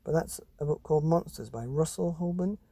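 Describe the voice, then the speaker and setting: Husky, mellow male storyteller. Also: a little high, fairly quick. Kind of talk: expressive children's bedtime story reading.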